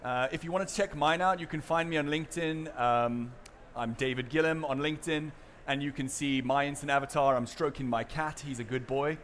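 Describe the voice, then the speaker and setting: South African male presenter, conference stage, relaxed, filler-heavy delivery, faint hiss behind. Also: a little high, brisk. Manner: casual and chatty, many fillers and restarts. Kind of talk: conference seminar talk with audience questions.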